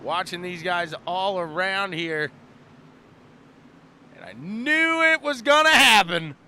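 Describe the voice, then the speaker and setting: A man calling a live dirt-track race as the track announcer, animated and repeating phrases for emphasis. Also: high-pitched, takes it slowly. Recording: loud engine and track noise. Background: race cars and outdoor track noise.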